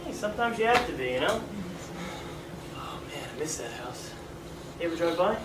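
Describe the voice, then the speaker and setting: A man's voice: on the high side, big pitch swings, normal speed. A man playing the breezy ghost of a teenage boy, offhand and slangy in casual stage-play dialogue. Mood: easygoing. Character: breezy teenage boy ghost, laid-back best friend. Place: small indoor theatre stage. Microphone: far camera. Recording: echoey theatre stage, distant noisy camera mic.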